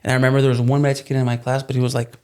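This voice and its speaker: A man's voice: mid-range voice, monotone, very fast, mellow, clean tone. Casual rambling man, native Spanish. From California. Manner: rambling, many fillers and restarts. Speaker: podcast guest. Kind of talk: casual interview chat.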